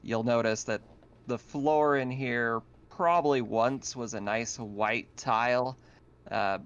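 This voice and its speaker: A man running a tabletop roleplaying game as game master, describing scenes in a halting, improvised way. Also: on the low side, clean tone, highs cut off.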